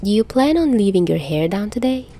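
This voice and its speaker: A woman's voice: mid-range voice, big pitch swings, fairly quick, warm tone, voice pushed up. A woman voicing a teasing young man in a story, mock-parental and overdramatic in manner. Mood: soft.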